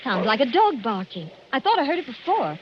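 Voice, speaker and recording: An actress playing a small, nervous, playful young bride in a 1940s radio drama style. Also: female voice, high voice, very animated, a little fast, slight background noise. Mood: curious. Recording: muffled old radio recording.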